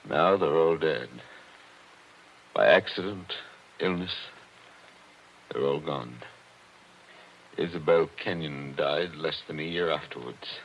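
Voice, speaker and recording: A genteel, lonely attorney with a very deep voice and measured, formal phrasing. He sounds wistful and haunted. The sound is an old, muffled radio broadcast with a faint hiss. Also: exaggerated voice. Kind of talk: 1940s radio drama acting.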